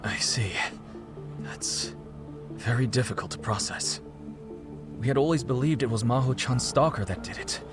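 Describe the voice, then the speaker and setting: An anxious middle-aged husband and father, voiced by a man in a dramatic video game. His mid-pitched voice moves widely and emotionally, halting yet proper, with music clearly behind it. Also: expressive, close to an everyday voice. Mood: shaken. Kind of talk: dramatic game cutscene dubbing.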